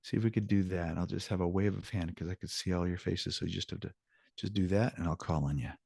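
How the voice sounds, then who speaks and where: Man, fairly deep voice, language teacher, informal, encouraging, switching languages, dry video-call recording. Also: fast, gravelly, darkish tone, slightly rough, dry recording. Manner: informal, encouraging, switches between English and Spanish. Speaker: Spanish teacher. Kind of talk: online language lesson, explaining examples.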